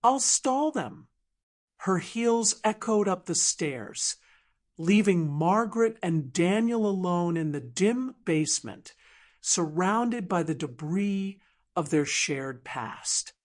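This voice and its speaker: A woman's voice: fairly low pitch, animated, slow, a little mellow. A woman reading scripted dramatic fiction as an audiobook narrator.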